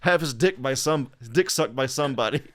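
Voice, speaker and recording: A man's voice: mid-range voice, lively intonation, brisk, fairly bright tone. A man co-hosting a podcast, chatting casually in a blunt, slangy and often profane way. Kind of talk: casual chat.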